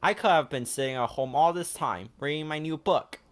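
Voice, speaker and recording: A man voicing a staid, bookish, easily exasperated male friend in a children's storybook, in a measured grumble. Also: on the high side, natural voice.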